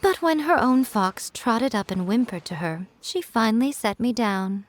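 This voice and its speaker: A woman playing a self-assured, teasing fox spirit shrine maiden in a video game, with playful, coy and deliberate phrasing. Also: a little high, animated, slight background noise, natural voice. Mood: wistful storytelling. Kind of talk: polished video game character acting. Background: music.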